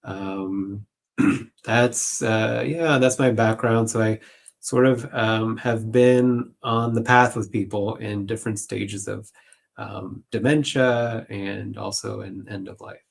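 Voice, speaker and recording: A man's voice: fairly low pitch, steady tone, takes it slowly, vocal fry. A man answering interview questions as a guest, conversational, reflective and a little hesitant. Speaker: guest clinical social worker.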